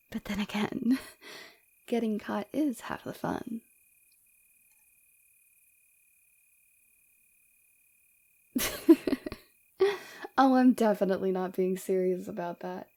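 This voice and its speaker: Casting: woman to play seductive villainess for ASMR roleplay; soft, dark-toned, breathy delivery, coy and teasing, close on mic. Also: medium pitch. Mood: coy, mischievous.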